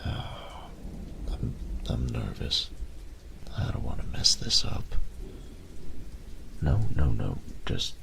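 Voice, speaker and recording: Man acting as a tender young male roommate, romantic audio roleplay, heavy vocal fry, lively intonation, breathy murmur, faint rain and thunder behind. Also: rumbling low, slow, pauses often, noisy background, full character voice. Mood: nervous, earnest. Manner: soft breathy murmurs, teasing pet names.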